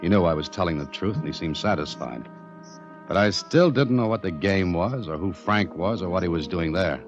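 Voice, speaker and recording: An actor playing a cool, wry adventurer with an American accent, clipped and unruffled, in 1940s radio drama. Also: male voice, deep voice, expressive, moderate pace, faint music behind. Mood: narrating tersely. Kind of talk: 1940s radio acting with narration. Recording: muffled old radio recording.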